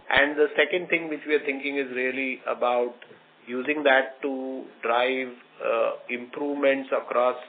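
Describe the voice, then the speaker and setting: A man's voice: medium pitch, slight background noise. Indian-accented male executive on a tinny phone line. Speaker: company executive answering questions. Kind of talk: earnings call question and answer. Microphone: conference phone call.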